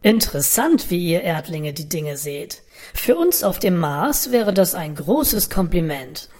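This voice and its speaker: A woman playing a stranded Martian alien in a satirical radio play, measured, unbothered and politely haughty. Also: fairly low pitch, sing-song, a little mellow, dry recording, studio quality. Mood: calm, understated.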